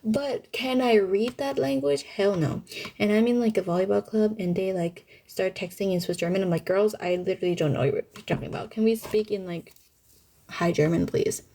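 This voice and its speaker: Young woman streamer just chatting with viewers, relaxed mellow tone, medium pitch, slangy and informal. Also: normal speed. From Thailand. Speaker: livestreamer. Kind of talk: casual livestream chat answering viewer questions.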